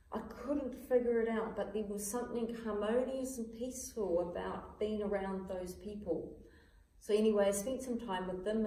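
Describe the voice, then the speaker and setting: A woman's voice: medium pitch, dark tone. A woman hosting a small gathering, talking informally about her own experience. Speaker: event host and speaker. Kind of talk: informal personal talk to a small group. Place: indoor room at a small gathering. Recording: roomy echo, some background noise.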